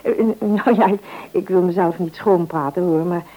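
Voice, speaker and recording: A woman's voice: lowish voice, fairly even intonation, normal speed, warm tone, close to an everyday voice, poor audio. An actress playing a caring, chatty middle-aged mother in a radio serial, exclamatory and fussing. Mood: fussing, apologetic. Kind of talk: Dutch radio serial acting.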